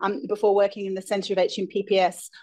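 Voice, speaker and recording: Halting woman hosting a lecture evening. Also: medium pitch, little pitch movement, mellow. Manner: informal and halting, with frequent restarts. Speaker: event host, charity chief executive. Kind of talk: introductory remarks at a lecture. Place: live lecture event with an audience.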